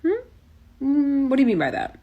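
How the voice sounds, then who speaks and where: A woman's voice: high-pitched, big pitch swings, moderate pace, gravelly, dark tone, lo-fi sound. A young woman telling a personal story to camera, chatty, full of "like", with casual swearing. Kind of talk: storytime vlog.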